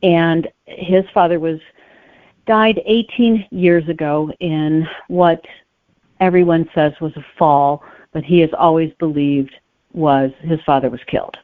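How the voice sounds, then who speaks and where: A woman's voice: low voice, fairly even intonation, unhurried, no room sound. Woman author guest, thin phone-like sound. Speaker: guest author discussing her book. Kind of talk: conversational interview answers. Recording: narrow phone-like line, dry.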